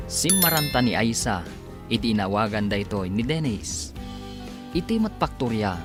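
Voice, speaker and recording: A man narrating a radio drama as its announcer, reading from a script. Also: medium pitch. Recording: loud music under the voice.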